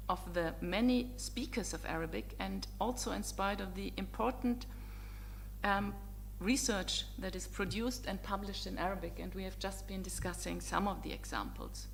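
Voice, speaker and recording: A woman's voice: mid-range voice, gravelly, slight background noise. Courteous woman with a German accent. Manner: measured, courteous, with frequent ums and uhs. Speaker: visiting professor. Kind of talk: formal opening address to students.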